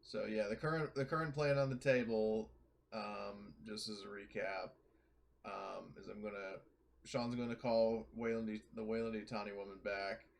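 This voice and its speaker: Low-voiced man chatting at a tabletop game. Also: steady tone. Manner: informal, foul-mouthed, halting. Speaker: tabletop roleplaying player. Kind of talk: casual in-character game chat. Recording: clear background noise.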